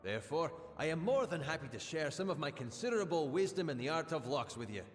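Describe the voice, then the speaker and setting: An actor playing a boastful, excitable fantasy locksmith in a video game, speaking in a theatrical, flustered, self-important way. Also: male voice, on the high side, fast. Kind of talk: video game character acting. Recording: long reverb, music behind.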